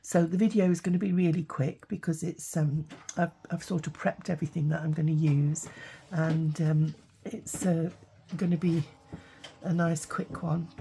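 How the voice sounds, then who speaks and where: Woman craft vlogger, sick and croaky, gravelly dark tone, apologetic and rambling. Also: sits low, fairly even intonation, moderate pace, a little hiss or hum. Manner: rambling, lots of ums and restarts, apologetic. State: has a cold, croaky with a sore throat. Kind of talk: casual craft tutorial chat.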